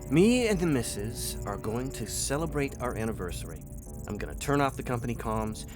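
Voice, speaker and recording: A man's voice: mid-range voice, big pitch swings, brisk, natural voice. A man playing a dutiful, weary captain of a space freighter in a sci-fi radio drama. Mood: easygoing. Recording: loud music under the voice.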